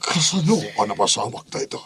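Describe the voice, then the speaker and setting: A man's voice: medium pitch, sing-song, normal speed, crowd noise. A man playing a grave, remorseful father with a crooked past in a melodramatic audio drama. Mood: shocked.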